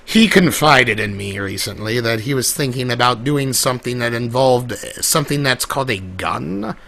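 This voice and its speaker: A man playing a centuries-old male trickster from a pony world, talking to an audience in a theatrical, flamboyant, rambling way with a gravelly, husky voice. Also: mid-range voice, animated, moderate pace, fairly bright tone. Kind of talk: live roleplay monologue to an audience.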